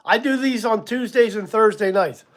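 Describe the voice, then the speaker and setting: Man, 60s, relaxed livestream host, higher-pitched voice, rambling and chuckly. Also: normal speed. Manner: casual, rambling, chuckles at himself, many ums. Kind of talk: live stream chat with viewers.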